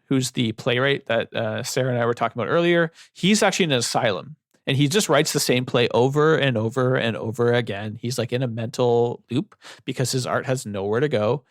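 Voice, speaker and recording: A man's voice: medium pitch, no echo, studio quality. A young man co-hosting a book club podcast, talking casually with lots of fillers and loose, rambling sentences. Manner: relaxed, rambling with many fillers.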